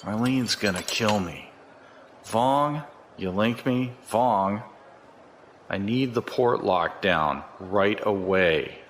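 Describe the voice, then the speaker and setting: Man playing a cocky, animated, low-voiced security chief. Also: slow, slight background noise. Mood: irritated, commanding. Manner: chatty, colloquial, swaggering. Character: cocky, folksy, corrupt planetary security chief. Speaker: actor in an audio drama. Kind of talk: science fiction audio drama acting.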